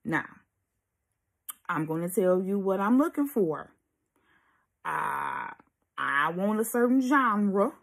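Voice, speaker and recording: Lively, slightly husky woman chatting. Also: medium pitch, slow, long pauses. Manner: animated, emphatic, sassy asides with pet names. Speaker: host of an audiobook review vlog. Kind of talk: casual chatty video commentary.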